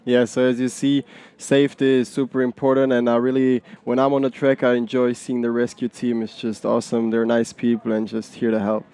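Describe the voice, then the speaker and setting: A man's voice: medium pitch, monotone, a little fast, dark tone, a little hiss or hum. A man who rides in the race, speaking casually and with enthusiasm to the camera.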